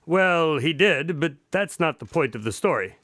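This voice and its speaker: A man acts out a wry doctor and storyteller for a radio drama. His voice is bright and rather high, swinging with exasperated emphasis. The sound is close and dry. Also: lively intonation, normal speed, no room sound. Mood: playful storytelling.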